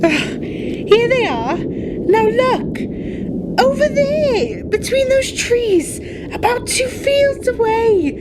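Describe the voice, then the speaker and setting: A woman's voice: very high voice, expressive, extremely slow, full character voice. A woman playing a spirited, sarcastic and headstrong young society lady in a radio play, arch and theatrical. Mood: urgent. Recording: heavy ambient noise behind the voice.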